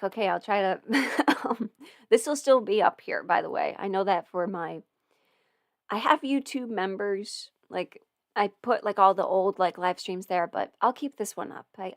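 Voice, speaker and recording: A woman's voice: mid-range voice, a little slow, no echo. Chatty woman with a dry close sound. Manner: chatty, rambling, laughs often, swears casually. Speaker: audio performer answering viewer questions. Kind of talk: casual livestream chat.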